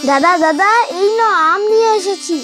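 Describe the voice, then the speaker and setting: An earnest, devoted little village boy played by a woman speaks in a high voice in a cartoon. Clear background music accompanies the voice. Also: moderate pace. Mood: earnest, polite. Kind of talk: cartoon character voice.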